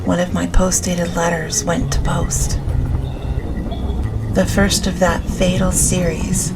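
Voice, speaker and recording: A woman with a slightly low voice reads a gothic novel aloud as an audiobook. Her delivery is gentle and very slow, each phrase measured. Prominent music and ambience play beneath her. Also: rough recording. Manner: gentle, unhurried, measured reading. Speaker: audiobook narrator.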